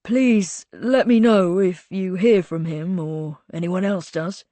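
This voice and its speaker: Brief: polite middle-aged male director, read by a woman, measured and reserved, dry close sound. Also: fairly low pitch, warm tone, dry recording.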